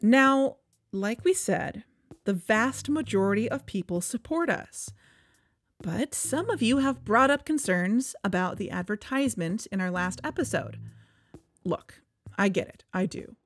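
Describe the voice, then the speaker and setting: A woman's voice: mid-range voice, expressive, measured pace, no echo. A woman acting as an earnest, insistent podcast host in a conversational audio drama. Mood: defensive, urgent.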